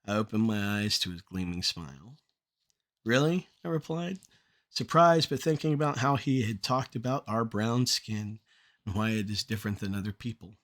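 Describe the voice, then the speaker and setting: A middle-aged man performing rhymed spoken-word poetry in a measured, deliberate delivery with short phrases. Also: fairly low pitch, fairly bright tone.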